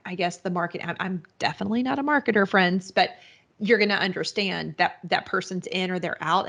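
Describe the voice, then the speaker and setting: A woman's voice: fairly low pitch, a bit bright. A woman guest on a podcast, explaining her business in a rambling, casual way with many restarts and fillers. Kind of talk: casual interview answers.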